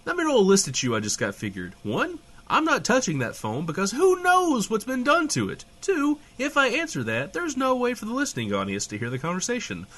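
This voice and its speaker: An actor playing a sarcastic, hedging, foul-mouthed small-town radio host in a comedic audio drama, trading banter. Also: male voice, high-pitched, wildly swooping pitch, a little fast, hardly any pauses, a bit bright, heavily acted. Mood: reluctant. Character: irreverent, flustered small-town radio host, adult man.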